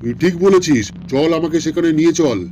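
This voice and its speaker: A man voicing a menacing, hungry lion king who talks bossily in a children's animated folk tale. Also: on the high side, quick pace, highs cut off, very noisy, lightly acted. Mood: demanding, pleased. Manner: bossy, threatening.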